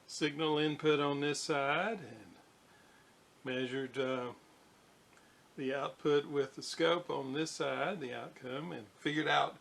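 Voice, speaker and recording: A middle-aged man giving a casual workbench demonstration, thinking aloud in an unhurried way with fillers. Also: mid-range voice, creaky, fairly bright tone. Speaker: radio restoration hobbyist. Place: home electronics workbench.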